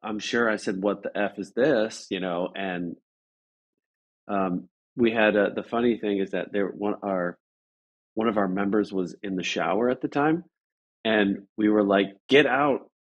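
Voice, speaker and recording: A man's voice: on the low side, unhurried, highs cut off. A man telling an anecdote as an interview guest, casual and agreeable, often stopping to correct himself.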